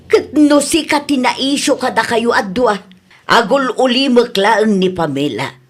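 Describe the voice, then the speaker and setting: A woman's voice: on the high side, lively intonation, a little slow. An actress with an Ilocano Filipino accent playing an exasperated, nagging middle-aged mother in a comedy radio drama. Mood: wary.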